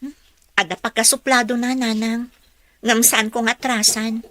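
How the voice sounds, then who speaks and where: A woman's voice: a little high, flat delivery, moderate pace, bright, forceful tone. A young woman voicing an earnest, polite young employee in a radio drama.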